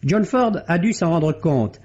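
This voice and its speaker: A man reading a scripted film review as a radio critic, measured, literary and articulate, in the style of 1950s radio. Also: on the high side, animated, darkish tone. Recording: dull vintage 1950s radio recording.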